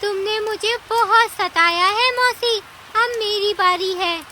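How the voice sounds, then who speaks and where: Little girl mouse for a cartoon, voiced by a woman: very high, bright, squeaky, earnest, easily wronged. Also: slow, slight background noise, full character voice. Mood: vengeful. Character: sweet, timid, wronged young female mouse. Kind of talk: Hindi cartoon story acting.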